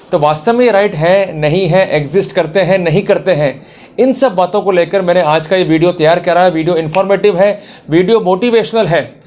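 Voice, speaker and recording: An Indian man giving a motivational legal lecture, exhorting the listener emphatically with rhetorical questions and English terms mixed in. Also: high-pitched. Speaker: presenter of a legal lecture series, advocate.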